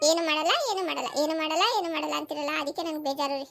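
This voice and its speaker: A woman voicing an overworked, sharp-tongued village housewife and mother in a family cartoon, scolding and complaining with a rural southern Karnataka accent. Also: high voice, fairly even intonation, racing, vocal fry. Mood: hurt, complaining. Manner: scolding, complaining, rhetorical questions.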